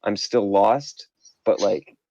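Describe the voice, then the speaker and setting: A man who runs a tabletop game, chatting casually after a session in a halting, self-deprecating way with many restarts. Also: on the low side, dark tone, no echo. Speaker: game master. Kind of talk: casual post-game chat. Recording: muffled lo-fi audio.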